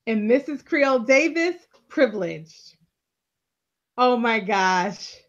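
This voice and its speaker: A woman's voice: on the high side, lively intonation, takes it slowly. Woman co-host on dull video call. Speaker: co-host of a live recap show. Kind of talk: casual live chat.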